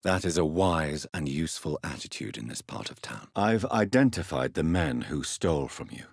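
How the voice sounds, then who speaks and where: A man playing an earnest, stern gentleman doctor of early twentieth-century England, secretly a vampire, in a video game, with formal, measured, intense diction. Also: very deep voice, animated, gravelly, dry recording, studio quality, full character voice. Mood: probing, grave. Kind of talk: dramatic video game voice acting.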